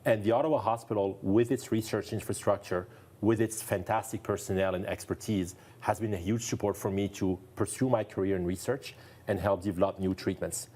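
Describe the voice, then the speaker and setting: French-accented man, low and mellow. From France. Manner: earnest, enthusiastic, repeats for emphasis. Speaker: neurologist guest. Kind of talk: TV interview. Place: TV studio.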